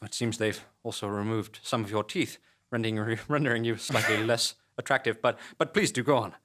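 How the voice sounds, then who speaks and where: A man's voice: fairly low pitch, fairly even intonation, a little fast, a bit bright, natural voice. A man playing a fussy, timid and devoted office underling in an audio comedy drama, hesitant, polite and officious. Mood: anxious concern.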